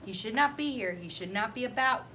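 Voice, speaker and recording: A woman's voice: a little high, lively intonation, brisk, bright, forceful tone, slight background noise. A woman voicing a fussy, indignant little male pet fish in a children's storybook, scolding and protesting emphatically. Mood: alarmed scolding. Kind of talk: children's storybook read-aloud.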